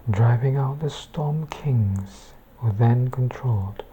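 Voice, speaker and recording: A woman reading a book aloud in a hushed, soft-spoken ASMR bedtime style, often slipping toward a whisper. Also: extremely low pitch, takes it slowly, highs cut off, noisy background. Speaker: book reader, ASMR channel host.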